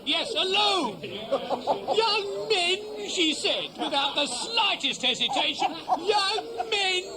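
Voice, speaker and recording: Very high, sneering, arrogant suitor. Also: male voice, big pitch swings, takes it slowly, heavily acted. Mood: sneering, indignant. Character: mocking, arrogant male suitor, sly and insolent. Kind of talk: 1970s radio drama acting. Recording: noisy, roaring crowd, cassette-era radio play.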